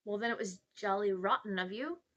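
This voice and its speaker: A woman's voice: medium pitch, fairly quick, a bit bright, muffled recording, natural voice. A woman voicing an indignant young boy in a storybook, blunt and full of questions.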